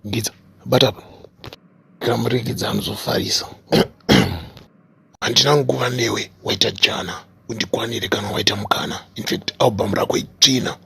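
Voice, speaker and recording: A man's voice: lowish voice, fairly even intonation, fairly quick, gravelly, long pauses, bright, forceful tone, slightly rough, heavily acted. A man voicing a scornful old-school music producer in a cartoon parody, taunting and menacing, with a Zimbabwean accent. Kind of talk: cartoon parody press statement.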